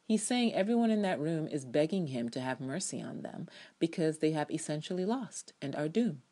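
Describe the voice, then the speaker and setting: A woman's voice: deep voice, lively intonation, normal speed, mellow. A woman giving a dramatic, emphatic reading of her own opinionated TV review. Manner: emphatic, opinionated, acts out quotations. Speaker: TV show reviewer.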